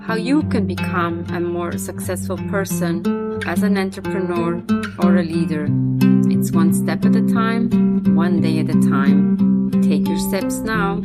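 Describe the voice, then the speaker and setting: A woman hosting a podcast, introducing guests and asking interview questions. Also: mid-range voice.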